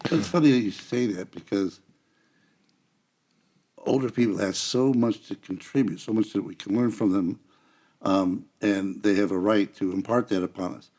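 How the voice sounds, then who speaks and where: A man's voice: lowish voice, moderate pace, darkish tone. A man with an American accent, earnestly telling anecdotes in a casual conversation as a podcast guest.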